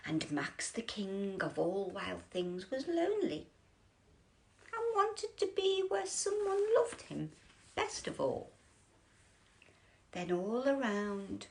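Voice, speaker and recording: A woman reading a children's picture book as a bedtime storyteller, theatrical and drawn out, often dropping into breathy whispers. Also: medium pitch.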